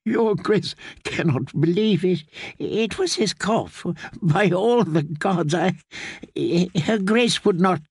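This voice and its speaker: A man's voice: high-pitched, slow, no room sound, studio quality, pitched above the performer's own voice, heavily acted. A man playing a stammering old court healer. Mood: fearful. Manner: quavering, stammering, pleading. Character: frightened elderly male court healer, stammering. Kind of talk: audiobook character voice.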